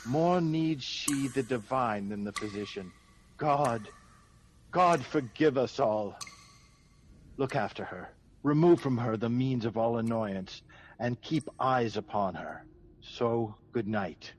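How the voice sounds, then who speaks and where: A man playing a grave, pious, watchful doctor in a Shakespearean radio drama, speaking with measured, formal concern. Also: mid-range voice. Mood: grave, shaken.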